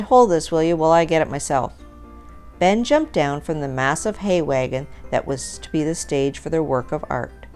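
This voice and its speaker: Low-voiced woman storyteller over music. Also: darkish tone. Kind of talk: scripted read-aloud story narration.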